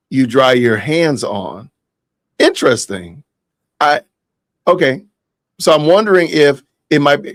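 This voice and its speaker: A man's voice: medium pitch, dry recording. A man hosting a podcast livestream in casual chat, reading comments and addressing viewers directly. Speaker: podcast host.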